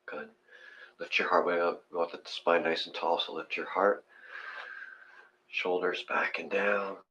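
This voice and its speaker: Very deep-voiced man guiding a meditation. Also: fairly even intonation, a little slow, pushed and bright, dull audio, slight background noise. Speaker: meditation and mudra instructor. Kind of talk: casual guided meditation instruction.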